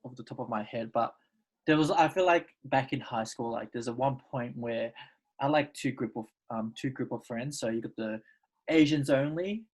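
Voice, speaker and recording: A young woman in her twenties with an Australian accent and a noticeably husky voice. She co-hosts a casual podcast chat. Her talk is hesitant, circling back over words. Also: a bass voice, normal speed, a little mellow, poor audio. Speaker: podcast co-host.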